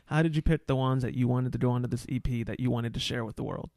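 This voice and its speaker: A man's voice: medium pitch, fairly even intonation, fast talker, creaky, darkish tone, no echo, clean studio sound. A man hosting a podcast interview in a casual, encouraging, conversational way. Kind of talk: casual, supportive interview chat.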